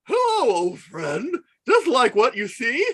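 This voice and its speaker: A woman voicing a gleeful, power-mad monster villain in an animated film table read, rough-edged, theatrical and taunting. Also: a little high, slow pace, no room sound, heavily acted. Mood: gloating menace. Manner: theatrical, taunting, swooping between phrases.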